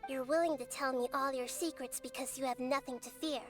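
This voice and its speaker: A woman voicing a composed, gently stern young girl goddess in a game. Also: sits high, steady tone, fairly quick, faint music behind. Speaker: game character.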